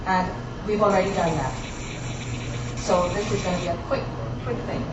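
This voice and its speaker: A woman's voice: lowish voice, fairly even intonation, moderate pace, muffled recording, heavy background noise. Chatty woman in an echoey hall. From born in Guyana, raised in Barbados. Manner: chatty, jokey, talks to the audience. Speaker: cooking demonstration host. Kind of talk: live cooking demo to an audience. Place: demo kitchen on a cruise ship. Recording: very echoey room, distant mic, faint ambience.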